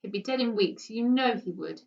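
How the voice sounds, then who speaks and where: A woman voicing a worried, earnest mother reasoning gently in a storybook reading. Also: on the high side, expressive, normal speed, gravelly, echoey room. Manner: earnest, persuading. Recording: dull, distant microphone.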